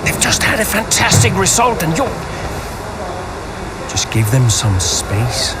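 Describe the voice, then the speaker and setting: An actor playing a calm, perceptive middle-aged racing team boss, speaking in a measured, frank way. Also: male voice, fairly high pitch, very noisy. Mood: concerned, wary. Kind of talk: cinematic game story dialogue.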